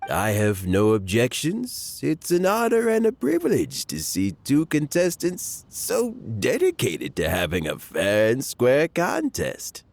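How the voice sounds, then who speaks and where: Older judge gentleman in a game, gravelly, measured, courteous, big pitch swings. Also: huge pitch swings, full character voice. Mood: gracious. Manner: measured, dignified. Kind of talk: video game character acting.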